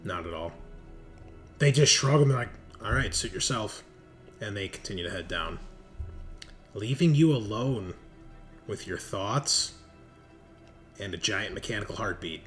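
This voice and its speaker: A man's voice: on the low side, animated, unhurried, pauses often, a bit bright, faint music behind. A man running a horror tabletop roleplaying game as game master, narrating descriptively and suspensefully.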